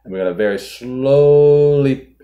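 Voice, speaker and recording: A man in his forties, host of a fishing channel, calmly walking viewers through tying a knot step by step, filmed indoors at a table. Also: medium pitch, measured pace, highs cut off. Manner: calm, methodical, walks through each step. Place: indoor studio in front of a boat.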